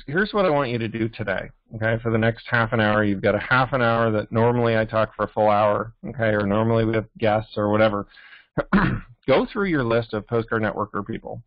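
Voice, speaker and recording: A man's voice: on the low side, no echo, highs cut off. A man leading an informal sales training call, speaking instructively and often checking in with short tag questions. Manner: instructive, repeatedly checking in with "okay?". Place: live team conference call.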